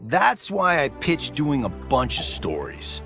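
Cocky, animated action-movie star. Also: male voice, on the high side, takes it slowly, vocal fry, exaggerated voice. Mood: cocky, pleased. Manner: breezy, self-satisfied, showy. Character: cocky, vain male action-movie star turned champion. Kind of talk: casual scripted game narration. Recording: studio voice over music.

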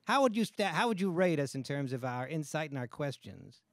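Halting, lively-voiced comedian host. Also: male voice, on the high side, no room sound, studio quality. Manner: halting, many restarts, playful jabs. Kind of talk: casual panel discussion. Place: small studio room with a table. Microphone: studio mic, headphones.

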